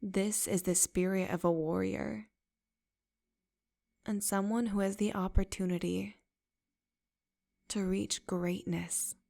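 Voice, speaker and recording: A woman guides a meditation with a soft, affirming manner. She speaks at a very slow, drawn-out pace. Her voice carries a gravelly fry, while her pitch sits in the middle. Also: fairly even intonation.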